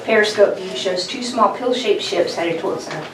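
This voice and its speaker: A woman's voice: mid-range voice, moderate pace. A woman reading stage directions as narrator at a table read of a science fiction script. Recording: echoey room, distant mic, noisy background.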